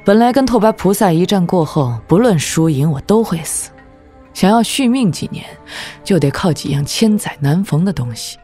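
A woman playing a proud, formidable martial-arts demon lord in an audio drama, with an imperious, measured delivery. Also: on the low side, lively intonation, mellow, soft background music, natural voice. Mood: grave, cool.

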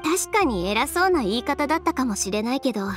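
A woman's voice: high-pitched, very animated, fairly quick, fairly bright tone. A woman playing a proud, self-assured young fashion designer in Japanese game acting, with a cool, assertive, teasing manner. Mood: earnest. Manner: cool, assertive, teasing, crisp feminine phrasing. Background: background music.

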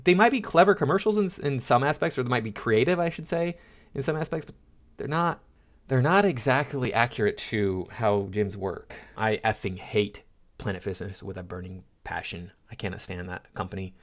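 A middle-aged American man giving casual, indignant opinion commentary as a channel host, often halting and repeating himself. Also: mid-range voice.

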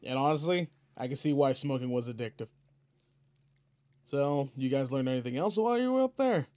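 A man's voice: mid-range voice, lightly acted. A man playing a seasoned but laid-back space guardian mentor in a video game roleplay, casual, slangy and wry as he talks to a partner. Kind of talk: video game roleplay audio, in-character monologue.

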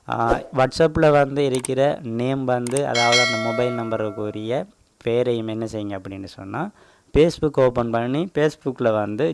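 A man's voice: mid-range voice, clear, resonant tone, dry recording. A man hosting a tech channel, explaining a phone tutorial step by step in a casual voice-over with a Tamil accent and a repeated filler word.

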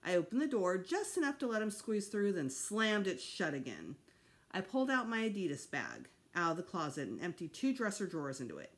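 A woman's voice: fairly low pitch, wildly swooping pitch, a little fast. A middle-aged woman reading a children's storybook aloud expressively at home, like a teacher. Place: small room at home.

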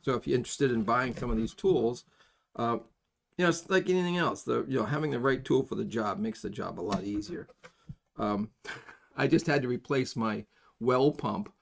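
An older man with a noticeably creaky, gravelly voice at an average pitch teaches a practical task at his bench. His delivery is folksy and halting, rambling along informally as he demonstrates each step. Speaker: soldering instructor. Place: indoors at a workbench.

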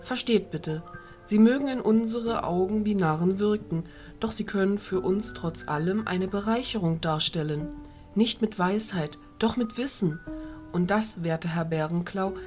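A woman playing a dignified, diplomatic fairy ruler, speaking in a formal, measured way. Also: medium pitch, a little mellow, soft background music, close to an everyday voice.

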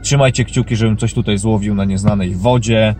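A man's voice: fairly low pitch, fairly even intonation, brisk, warm tone, slight background noise. A man recording a casual fishing vlog outdoors by a river on a head-mounted camera, chatty and joking with frequent restarts. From Poland. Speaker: fishing vlogger. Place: outdoors by a river on an overcast day. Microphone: head-mounted action camera.

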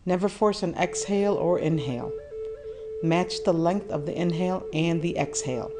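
A woman leading a guided meditation, giving calm instructions in a soothing, measured manner with deliberate pauses. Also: fairly low pitch, music in the background.

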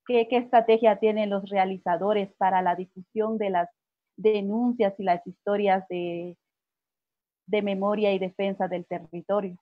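A woman's voice: medium pitch, fairly even intonation, a little slow, no echo. A woman moderating an online panel, asking long questions and rephrasing as she goes, heard over a video call. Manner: searching for words, rephrasing questions. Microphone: laptop webcam video call. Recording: dry, dull video-call audio.

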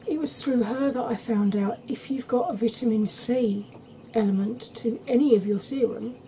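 A woman in her thirties chatting to camera at home in a soft ASMR style, rambling and often dropping into whispers. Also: on the high side, slight background noise, poor audio. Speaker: beauty vlogger. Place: small cluttered room at home.

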